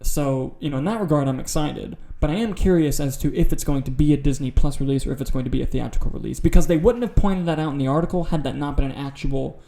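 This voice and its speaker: A man's voice: a little high, fast, vocal fry, few pauses, dark tone. A man hosting a podcast in a casual film discussion style. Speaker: podcast host.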